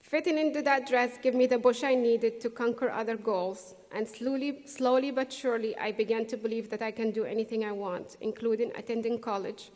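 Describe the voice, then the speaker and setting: Middle-aged woman, English as a second language, earnest convocation speaker, slightly high voice, long hall reverb. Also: fairly even intonation, darkish tone. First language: not English. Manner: earnest, measured, with pauses for emphasis. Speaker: graduation speaker. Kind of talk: prepared convocation speech. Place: large hall. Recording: distant echoey hall recording.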